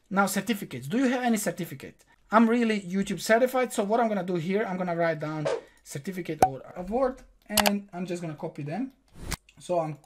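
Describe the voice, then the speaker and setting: A young man whose first language is Croatian hosts a screen-recorded tutorial. He talks viewers through every click in a direct, instructive way, recorded at a desk in a small room. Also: sits high. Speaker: online tutorial host.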